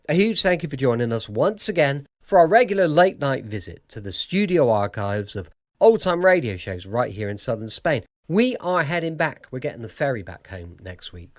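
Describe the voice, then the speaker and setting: A man's voice: a little high, big pitch swings, normal speed, no room sound, clean studio sound. A man with a British accent chatting informally as a podcast host.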